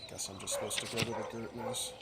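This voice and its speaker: A man's voice: lowish voice, level tone, fast talker, very noisy. A man giving casual commentary as he plays through a video game. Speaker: let's play host.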